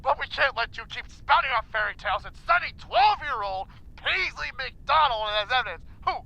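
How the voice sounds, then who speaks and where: A pompous newspaper editor in a comic audio drama. His high, very sharp voice swoops theatrically and crackles as he rambles with melodramatic bluster. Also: lively intonation, moderate pace, gravelly, heavily acted. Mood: melodramatic, wistful.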